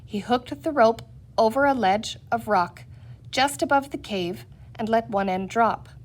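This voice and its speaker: A woman reading a children's adventure story aloud in an expressive, scripted narrating style. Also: on the high side, unhurried. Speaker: audiobook reader.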